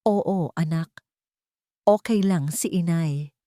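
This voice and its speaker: A woman playing a meek, gentle single mother in an audio story, soft and reassuring while worried. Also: fairly low pitch, takes it slowly, no echo, studio quality.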